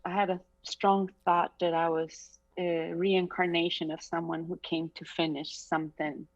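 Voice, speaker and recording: Unhurried Chilean-accented woman, laughing softly. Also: fairly low pitch, flat delivery. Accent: Chilean Spanish. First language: Spanish. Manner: reflective, laughs often, unhurried. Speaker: singer, interview guest. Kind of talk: casual conversation. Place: bright room at home. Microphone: video call. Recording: slightly dull video call audio.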